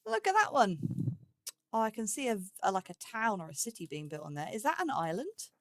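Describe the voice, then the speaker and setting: A woman's voice: mid-range voice, very animated, fairly quick, no echo. A woman giving casual, rambling gameplay commentary, thinking aloud with a playful, self-chiding manner. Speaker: let's play host.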